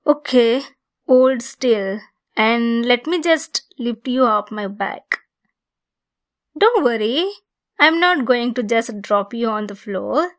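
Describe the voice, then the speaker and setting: Woman playing a slow-talking, higher-pitched centaur captor. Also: expressive. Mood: gentle, coaxing. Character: possessive female centaur captor, bossy yet caring. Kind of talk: ASMR roleplay monologue.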